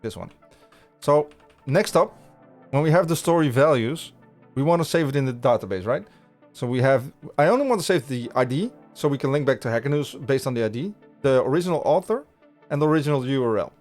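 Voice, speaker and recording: A man's voice: medium pitch, expressive, a little fast, a little mellow, soft background music. A man from the Netherlands whose first language is Dutch, hosting a casual live coding stream and talking through his plans with viewers, with frequent restarts and fillers. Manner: rambling, many restarts, chatting with viewers. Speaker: livestream coding host. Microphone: studio podcast mic.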